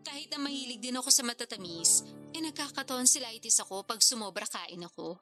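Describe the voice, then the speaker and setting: A woman's voice: on the high side, animated, a little fast, sharp tone. An actress playing a modest, chatty single mother in her thirties in a radio drama. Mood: playful, friendly. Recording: clear music bed behind dialogue.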